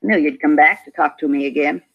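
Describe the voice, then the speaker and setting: An actress playing a prickly, self-important neighborhood gossip in a 1950s radio drama, huffy and argumentative in clipped, emphatic phrases. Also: female voice, sits low, fast talker, slightly rough. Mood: smug. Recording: dull vintage radio recording.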